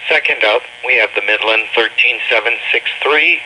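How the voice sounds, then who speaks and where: Man, very sharp bright tone, short announcing phrases, faint hum. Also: mid-range voice, moderate pace, rough recording. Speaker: product reviewer, presenter. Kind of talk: short product introduction, announcing.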